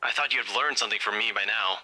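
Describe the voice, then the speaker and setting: A man acting a composed, guarded young man in a visual novel, with a husky voice and a controlled, dry delivery. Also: fairly low pitch, racing, sharp tone, low-quality recording. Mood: concerned, chiding.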